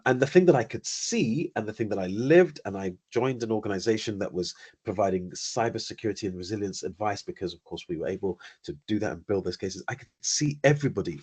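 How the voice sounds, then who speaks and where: A middle-aged man with a British accent talks in a husky, lowish voice. He is articulate and measured, with a dry, understated wit. He is a guest on a webinar over a video call. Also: darkish tone. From United Kingdom. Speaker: company founder, guest. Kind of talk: webinar interview answers.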